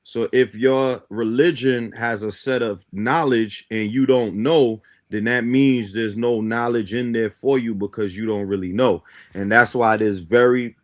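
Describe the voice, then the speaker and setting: A man from Guyana who grew up in Brooklyn, explaining his ideas in a didactic way as a talk show guest. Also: lowish voice. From born in Guyana, grew up in Brooklyn, New York. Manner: didactic, often checks in with "right?". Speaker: guest, teacher of a belief system.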